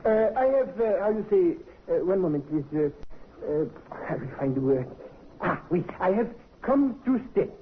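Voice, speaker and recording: Heavy-French-accent charmer for old radio, broken english, swooping lively higher voice, tinny old broadcast. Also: male voice, noisy background. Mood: halting. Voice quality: halting broken English, fumbling for words. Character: amorous, scheming little Frenchman inventor, adult man. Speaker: radio drama actor. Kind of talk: 1950s radio crime drama acting. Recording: muffled, tinny vintage radio recording.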